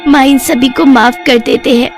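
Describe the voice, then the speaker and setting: Cartoon ghost girl female performer: high-pitched, forgiving, pleading, light music underneath. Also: steady tone, moderate pace, lightly acted. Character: forgiving young daughter witch ghost.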